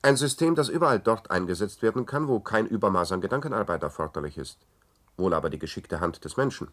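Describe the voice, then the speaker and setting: A man's voice: lowish voice, expressive, fast talker, a bit bright. A man playing a smug, coolly obsessed scientist in a radio science-fiction drama, urbane and lecturing. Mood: smug, boastful. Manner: urbane, self-satisfied, lecturing.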